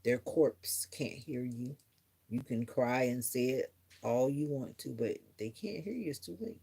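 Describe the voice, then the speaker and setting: A woman's voice: extremely low pitch, unhurried, creaky, warm tone, slightly rough. A middle-aged woman chatting casually on a live stream, musing earnestly to her viewers in a reflective, rambling way. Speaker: livestreamer.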